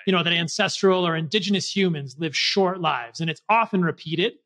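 A man's voice: a little high, brisk, a bit bright. A man in his forties talking conversationally as a podcast host, close to a desk microphone. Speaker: doctor. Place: small home office, indoors.

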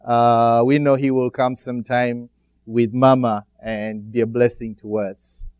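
Clear-voiced Ugandan man preaching. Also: medium pitch, level tone, measured pace, darkish tone, dry recording, studio quality. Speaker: guest pastor preaching. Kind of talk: church greeting and sermon. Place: speaking to a congregation in a church.

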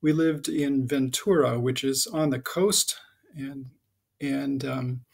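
A middle-aged American man, a high school teacher, giving an informal, halting, explanatory introduction to his class over slides. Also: steady tone, creaky, a little mellow, breathy edge. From United States (Connecticut and Southern California).

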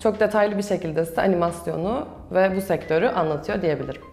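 A woman's voice: fairly low pitch, quick pace, a little mellow. A young adult woman, a student answering questions in a promotional interview. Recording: roomy echo with music behind. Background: background music.

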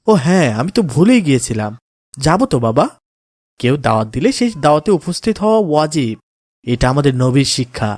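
A man voicing a pious, kindly father in a moral cartoon, giving gentle counsel in a calm, polite, instructive way. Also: fairly high pitch, brisk, no room sound, studio quality. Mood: warm, instructive. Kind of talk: cartoon voice acting in moral story.

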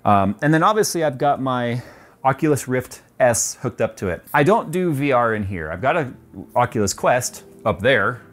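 A man's voice: medium pitch, expressive, moderate pace, fairly bright tone. A man in his forties giving a casual tour of his home game room, chatty and loose with plenty of ums, on a lapel mic. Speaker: tech YouTuber.

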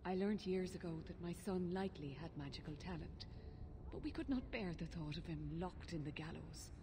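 A woman's voice: lowish voice, normal speed, noisy background, lightly acted. An actress playing an anxious, earnest elven mother who fears for her child, in grave fantasy game dialogue.

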